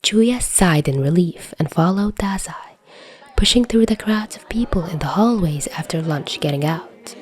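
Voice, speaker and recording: A woman's voice: on the low side, mellow. A woman reading scripted fan fiction as a story narrator. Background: faint music.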